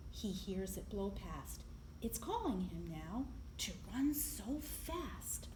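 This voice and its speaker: A woman reading a picture book aloud to young children in an expressive, deliberate storytelling manner, with emphasis on the sound words. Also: mid-range voice. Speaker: library storyteller. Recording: echoey room, clear background noise.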